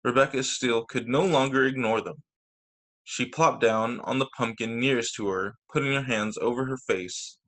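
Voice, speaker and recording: A male teacher reads a children's picture book aloud over a video call. His voice sits at a medium pitch, and he delivers the narration expressively, leaning on key words. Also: a bit bright. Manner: deliberate emphasis on key words, with short asides to listeners. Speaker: teacher reading at story time.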